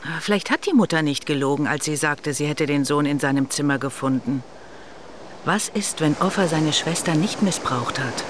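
An actress playing a skeptical police colleague in a radio drama, questioning and reasoning in a measured way. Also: female voice, low-pitched, lightly acted. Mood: probing, doubtful. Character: probing, skeptical female senior police colleague. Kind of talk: German radio drama acting. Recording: clear surf-like ambient noise behind.

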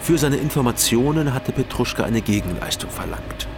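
An actor narrating a crime drama in the first person, in a calm, measured way. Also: male voice, medium pitch, creaky, background noise. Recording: music playing behind.

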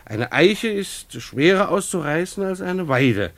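A man's voice: high voice, sing-song, unhurried, full character voice. An actor playing a middle-aged schoolteacher husband in a radio play, ironic and patronizingly patient with his wife.

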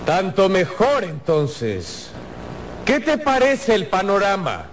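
A possessive, obsessive captor in a radio drama. His high voice swings widely as he coaxes and taunts with mock tenderness. There is faint noise behind him. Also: huge pitch swings, slow pace, full character voice. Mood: sly, taunting. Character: menacing, possessive masked male captor, obsessive and sly.

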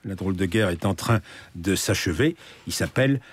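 A male radio host with a very low, husky voice steers a history interview. His pitch moves in a lively way as he frames archive clips and presses his guest with measured questions.

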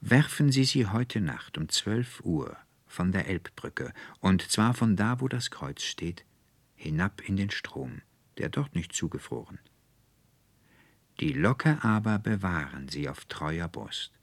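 A man's voice: low voice, sing-song, takes it slowly, no room sound, full character voice. A man voicing an earnest, resolute young woman in an audiobook, declaiming emphatically in formal, old-fashioned phrases. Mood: solemn, resolute. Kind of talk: literary audiobook character dialogue.

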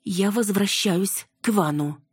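A woman's voice: medium pitch, a little slow, breathy edge, dry recording, clean studio sound, pitched above the performer's own voice. A woman voicing a spirited, wry and direct young woman in an audiobook. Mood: tense, wry.

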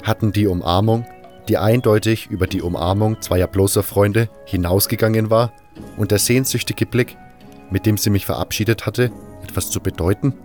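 A man's voice: lowish voice, fairly even intonation, moderate pace, a little mellow. A man narrating a scripted first-person adventure story for a fan audio drama. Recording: music under the voice. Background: music.

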